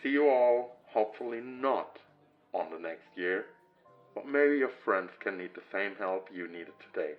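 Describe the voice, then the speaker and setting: A man acts a courteous male event host in an audio roleplay. He makes polite, measured announcements at a very slow pace, in a fairly low voice. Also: lively intonation, phone-quality sound, soft background music.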